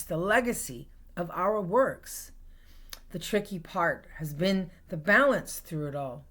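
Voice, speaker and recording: A woman with a Canadian accent reading her own spoken-word poetry in an earnest, deliberate, dramatic way, in short phrases with pauses. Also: medium pitch, fairly bright tone. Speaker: poet reading own work.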